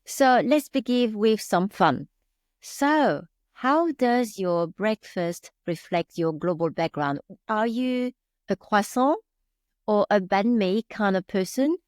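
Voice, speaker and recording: An upbeat woman hosts a podcast interview, chatty and quick to react, leaning on lots of fillers. Her voice sits in a middle range. The sound is close and dry, with no room around it. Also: mid-range voice, expressive, dry recording. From French-Vietnamese heritage. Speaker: podcast host.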